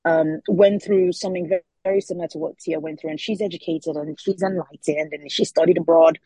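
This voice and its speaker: Nigerian lady author, breathy a bit, lowish voice, keeps repeating words while she thinks. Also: steady tone, a little fast, dark tone, no room sound. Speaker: author guest. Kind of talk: interview answers.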